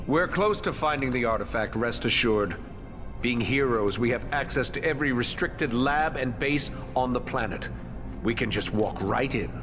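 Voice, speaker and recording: A man's voice: medium pitch, lively intonation, moderate pace, music in the background, lightly acted. An actor playing a smug, glib male villain posing as a hero in a video game, with long reverb. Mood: smug, reassuring.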